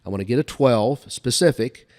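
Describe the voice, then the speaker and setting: A man's voice: mid-range voice, moderate pace, darkish tone. An older man telling anecdotes in a casual, folksy way as a guest, often recounting conversations. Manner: folksy, recounts dialogue back and forth. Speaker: podcast guest telling career stories.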